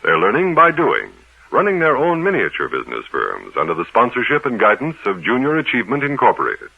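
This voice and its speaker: Swooping husky male radio announcer. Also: mid-range voice, huge pitch swings, a little fast, no room sound. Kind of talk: 1950s radio public service announcement, scripted. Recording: thin, phone-like old radio recording.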